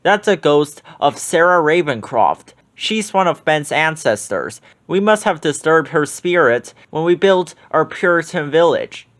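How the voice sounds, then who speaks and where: A man voicing an anxious small-town mayor, explaining in a hurried, worried way. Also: fairly high pitch, expressive, fairly bright tone.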